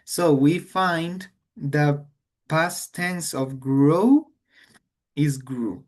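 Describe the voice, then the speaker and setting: Slow-paced male teacher. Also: fairly high pitch, animated, a little mellow. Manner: slow, explains in English then repeats in Spanish. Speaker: English teacher. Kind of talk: online grammar lesson.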